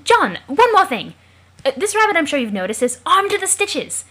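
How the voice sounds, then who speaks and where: A woman's voice: very high-pitched, very animated, normal speed, bright, forceful tone, voice pushed up, heavily acted. A woman voicing a plucky, chummy young male adventurer with a put-on British accent and theatrical, old-fashioned wording. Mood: chummy, earnest.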